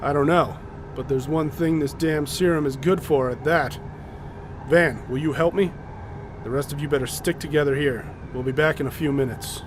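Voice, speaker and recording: An actor in a radio drama playing a practical, wry scientist who has taken command, reasoning things out decisively. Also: male voice, a little high, moderate pace, background noise, natural voice. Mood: brisk command. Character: level-headed male scientist taking command, wry and practical.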